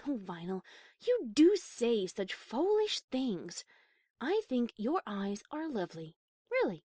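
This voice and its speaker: A woman playing a prim, high-class pony cellist with proper, formal manners in an audio story. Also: big pitch swings, slow, warm tone, slightly rough, no echo, studio quality, heavily acted. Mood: flustered, fond. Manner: posh, proper, formal wording. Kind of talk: character acting in audio story reading.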